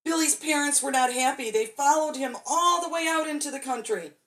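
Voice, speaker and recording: A woman's voice: sits high, fairly quick, fairly bright tone. A woman storyteller reading a children's bedtime story expressively, drawing out key words for dramatic emphasis.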